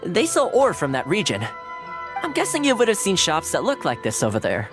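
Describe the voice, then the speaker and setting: An actor playing a friendly, easygoing young male retainer in a video game, talking in a relaxed, chatty way. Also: sits high, fairly quick, over music. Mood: cheerful. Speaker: game character.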